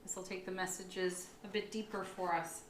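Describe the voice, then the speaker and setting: A woman reads tarot cards aloud in an upbeat, affirming manner, pausing for reflective asides. Her voice sounds a little froggy and worn. She is recorded in an echoey room with a faint hum of ambience behind her. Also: on the low side, flat delivery. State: slightly froggy, tired voice. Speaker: tarot reader. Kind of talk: monthly astrology tarot reading.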